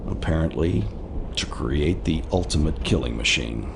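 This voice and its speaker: A low-voiced, earnest intelligence officer, formal and precise in a serious video game briefing. Clear noise sits behind the voice. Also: male voice, animated, normal speed, exaggerated voice. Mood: sober reporting. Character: earnest, dutiful male military intelligence aide. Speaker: intelligence aide.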